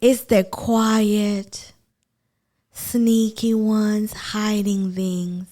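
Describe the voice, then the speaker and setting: A woman's voice: mid-range voice, level tone, extremely slow, dark tone. A woman from Kenya with a Kenyan accent, chatting casually to viewers on a live stream about a TV show. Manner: chatty, addresses viewers as y'all.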